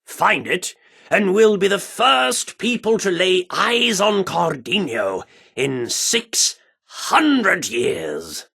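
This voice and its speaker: A pompous British theatre director for a video game. His voice sits fairly high and swoops dramatically, with a gravelly creak. He delivers florid, Shakespearean phrases with grand, excitable flair. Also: extremely slow, exaggerated voice. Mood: earnest, reverent.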